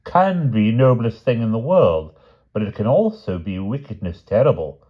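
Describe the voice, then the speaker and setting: A man's voice: medium pitch, big pitch swings, measured pace, lo-fi sound, pitched above the performer's own voice. A man doing a haughty, stilted foreign knight. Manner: broken, formal English, lofty and stilted. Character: pompous foreign knight tutor, haughty and stiff.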